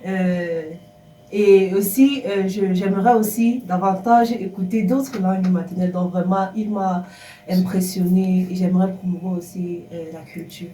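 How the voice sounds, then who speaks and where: A woman guest shares her view in a mellow, measured way with hesitant fillers. She sits a little back from the microphone. The echoey room carries faint background noise. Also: medium pitch, fairly even intonation, far from the mic. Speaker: studio guest.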